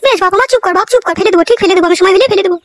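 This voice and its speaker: Flustered cartoon housewife: chipmunk-high, racing delivery, coaxing and fretful mother. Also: racing, a bit bright, dry recording, studio quality. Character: flustered village wife and mother, shrill, pleading. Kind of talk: comedy cartoon dialogue.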